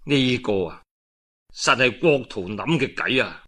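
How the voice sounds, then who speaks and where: 男人扮演沉穩精明嘅粵語軍師，聲線壓低. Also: male voice, mid-range voice, expressive, fairly bright tone, voice pushed down. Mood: suspicious. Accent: Guangdong Cantonese. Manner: measured, reasoning, persuasive. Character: shrewd, calm male military strategist advising his lord.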